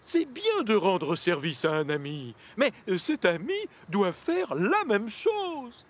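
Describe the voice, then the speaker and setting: A man's voice: high voice, huge pitch swings, takes it slowly, breathy edge, heavily acted. A man voicing a stern, fatherly, middle-aged railway controller with authoritative manner in a children's cartoon. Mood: disappointed, firm. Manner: authoritative, admonishing.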